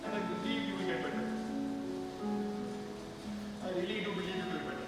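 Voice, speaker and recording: A man's voice: high voice, a little fast, lightly acted. A man on stage playing an angry, grieving older brother, in theatrical style. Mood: tender, grieving. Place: stage in a large hall. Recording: distant mic in echoey hall, loud music.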